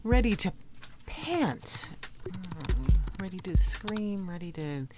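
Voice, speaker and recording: A woman's voice: lowish voice, animated, takes it slowly, over loud music. An actress playing a harried, strict middle-aged mother who writes at home, in an audio play. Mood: muttering, composing.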